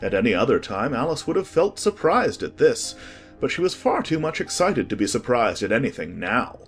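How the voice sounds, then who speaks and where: A man narrating a scripted storybook in an expressive, deliberate way. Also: mid-range voice, husky. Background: music.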